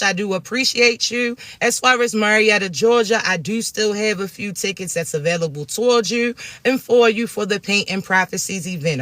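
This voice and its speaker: Raspy male spiritual coach, higher pitch and bright edge, speaks to viewers in a casual, reassuring way. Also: dry recording. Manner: affectionate, reassuring, calls listeners "chosen ones" and "babies".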